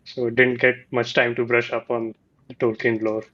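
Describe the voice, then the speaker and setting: A man's voice: medium pitch, level tone, normal speed, fairly bright tone. A man appearing as a guest on a casual online chat, giving short, matter-of-fact answers. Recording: dull lo-fi mic.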